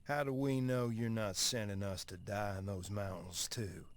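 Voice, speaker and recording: An actor playing a skeptical, defiant Old West gunslinger in a video game, folksy and confrontational. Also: male voice, lowish voice, steady tone, normal speed, natural voice. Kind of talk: dramatic video game cutscene acting.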